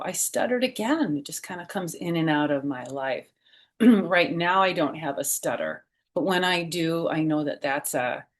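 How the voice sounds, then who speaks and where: A middle-aged woman reading a picture book aloud for children, with gentle teacherly phrasing and chatty personal asides. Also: deep voice.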